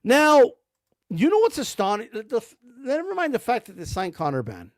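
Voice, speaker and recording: A man's voice: extremely high pitch, huge pitch swings, moderate pace, no room sound, studio quality, faint music behind. A man giving blunt, rambling commentary about boxing, full of slang and cursing. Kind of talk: casual ranting commentary.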